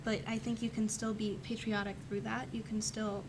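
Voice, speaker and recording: A young woman student on a discussion panel, thinking aloud tentatively with pauses. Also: little pitch movement. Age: teens to young adult. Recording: clear background noise and rumble.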